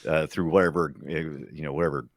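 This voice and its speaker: Guy with a really low voice, dry sound, rambly movie chat, acts bits out. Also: fairly even intonation, brisk, no echo. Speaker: podcast guest. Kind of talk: casual movie discussion.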